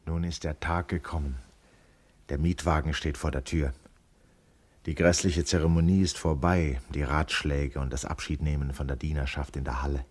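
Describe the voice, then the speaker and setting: A very low voice carries this radio drama monologue. It is an introspective schoolboy with a wry eye, who speaks in measured, literary phrases. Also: male voice, fairly even intonation. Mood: solemn, lonely.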